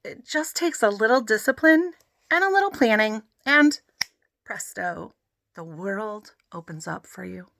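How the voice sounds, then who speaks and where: A woman's voice: medium pitch, expressive, a little slow, vocal fry, a bit bright, no echo, studio quality. A woman voicing an earnest, preachy father in a children's story, firm and instructive. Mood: upbeat, preachy. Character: earnest, preachy father who pushes learning.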